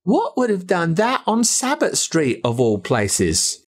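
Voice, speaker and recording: A man's voice: high voice, wildly swooping pitch, takes it slowly, voice pushed up, full character voice. A man with an Australian accent voicing an upset, plaintive young boy in a storybook reading. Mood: shaken, grim. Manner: plaintive, shaken. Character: upset young boy whose dog has died.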